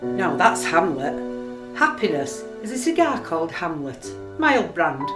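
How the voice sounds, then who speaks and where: A woman's voice: fairly low pitch, big pitch swings, measured pace. A woman playing a dismissive, sardonic sceptic in a radio play, pointed and needling. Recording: echoing room, faint music underneath.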